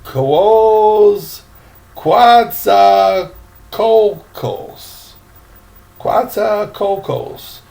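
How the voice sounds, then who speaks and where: Older man reading as a polite, frank boy, unhurried. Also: sits high, animated, creaky, warm tone, pitched above the performer's own voice, heavily acted. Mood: matter-of-fact. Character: frank, polite well-off Southern boy from Mexico.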